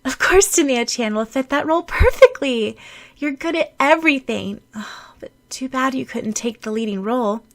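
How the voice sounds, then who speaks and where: A woman's voice: sits high, animated, normal speed, voice pushed up. A woman voicing a sweet, easily impressed schoolgirl in an audiobook of anime fan fiction, earnest and admiring.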